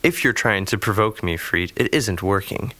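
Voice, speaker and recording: A man's voice: fairly low pitch, moderate pace, bright, forceful tone, no room sound. An actor playing a devout, defiant young male captive in an audio drama, speaking with dry control.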